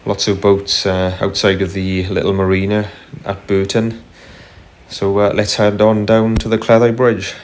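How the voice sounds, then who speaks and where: A man narrating casually as he paddles a kayak on a river, unhurried, with frequent ums and uhs. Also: lowish voice, flat delivery, slight background noise. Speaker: kayaker vlogger. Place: kayak on a tidal river outdoors. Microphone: camera mounted on the kayak.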